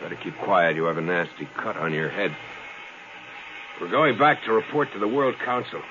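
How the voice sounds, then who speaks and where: A man's voice: low-pitched, normal speed, close to an everyday voice. An actor playing a principled, cautious space explorer who moralizes to his partner, in 1950s radio drama style. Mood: stern, earnest. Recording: muffled vintage 1950s radio recording.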